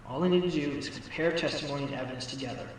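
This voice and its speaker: A man's voice: fairly high pitch, very fast, slight background noise, natural voice, poor audio. A man voicing a cocky young fox defense lawyer, smug and pointed, in a fan audio drama. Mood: nervous resolve.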